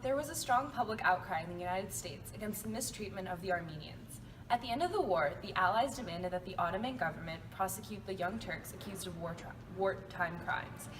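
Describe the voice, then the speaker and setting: A woman's voice: medium pitch, expressive, a little fast. A young woman reading a scripted report as a student reporter. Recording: distant mic, clear ambient noise.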